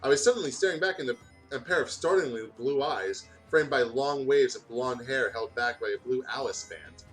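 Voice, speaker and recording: A man's voice: mid-range voice, normal speed, rough recording. A man doing a casual read-aloud of fan fiction, stumbling over words and breaking off to comment. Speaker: gaming video host reading fan fiction aloud. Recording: music playing behind the voice. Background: music.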